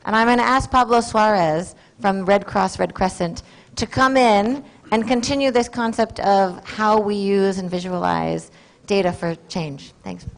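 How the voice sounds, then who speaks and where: A woman's voice: medium pitch, a little slow, creaky, low-quality recording. A woman moderating a conference session, speaking in a friendly, encouraging, conversational way to the audience. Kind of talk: event moderation.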